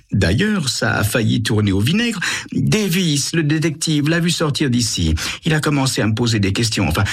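A man's voice: mid-range voice, vocal fry, slightly rough, pitched above the performer's own voice, full character voice. A man voicing a scheming young woman who mocks and gloats with self-satisfaction. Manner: mocking, self-satisfied, laughing at others.

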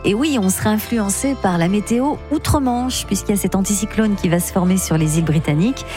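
A woman's voice: medium pitch, mellow. Woman giving the radio weather over music. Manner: brisk, informative, lists places and figures. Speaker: weather presenter. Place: radio studio.

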